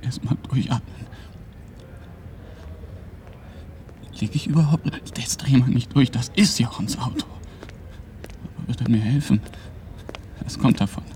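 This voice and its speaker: A man's voice: medium pitch, moderate pace, warm tone, close to an everyday voice. A man playing an anxious, urgent young German law graduate on the run in a radio drama. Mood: panicked, muttering. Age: young adult. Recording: background noise, vehicle ambience.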